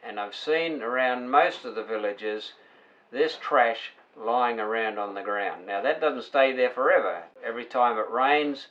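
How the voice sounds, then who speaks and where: An older man, an underwater photographer, speaking in a measured, earnest appeal about protecting coral reefs. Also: mid-range voice, fairly bright tone. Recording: dull lo-fi sound.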